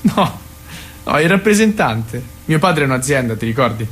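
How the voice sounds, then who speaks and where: A man's voice: medium pitch, big pitch swings, normal speed, fairly bright tone, soft background music. An actor playing a pupil's father, insistent yet awkward, in naturalistic audio drama dialogue. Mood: hesitant, friendly.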